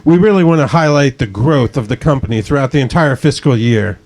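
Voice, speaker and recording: Actor as fifty-something office professional, male, mid-pitched, businesslike, dutiful, drama table read. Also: lively intonation, brisk, a little mellow, slight background noise, lightly acted.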